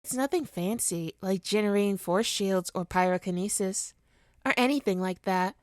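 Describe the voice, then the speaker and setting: A woman's voice: mid-range voice, moderate pace, no room sound, studio quality, close to an everyday voice. A woman playing a smug, teasing supervillain with hypnotic powers in an ASMR roleplay, taunting and theatrical in a condescending way. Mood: gloating, taunting. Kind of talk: ASMR roleplay monologue to listener.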